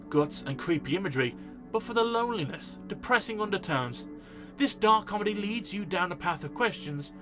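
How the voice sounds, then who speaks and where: Higher-voiced man, blunt film reviewer. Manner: blunt, sweary, informal. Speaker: film review video host. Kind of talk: casual scripted movie review commentary. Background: background music.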